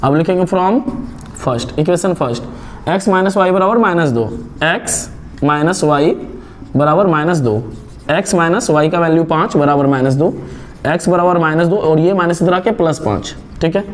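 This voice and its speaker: A young man from India teaching a step-by-step math lesson, explaining slowly and asking and answering his own questions. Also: on the high side, slight background noise.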